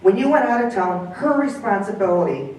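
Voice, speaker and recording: A woman's voice: medium pitch, lively intonation, a little fast, a little mellow, a little hiss or hum, voice pushed up, lightly acted. A woman voicing an aging, devoted male dog who needles his owner, recorded far off in an echoey room. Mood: reproachful. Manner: indignant, needling.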